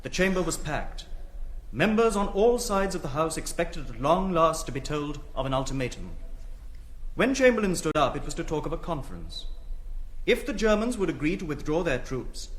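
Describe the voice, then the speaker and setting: An actor with an English accent as a grave narrator of wartime history in a radio drama, measured and literary. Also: male voice, a little high, big pitch swings. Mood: grave, dramatic.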